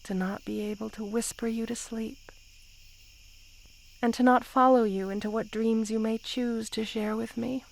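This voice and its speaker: A woman's voice: fairly high pitch, fairly even intonation, creaky, rough recording. A woman playing a possessive, lovesick snake queen in an intimate roleplay ASMR, speaking tenderly with sighs. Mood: vulnerable, pleading. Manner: slow, tender, sighing, possessive delivery.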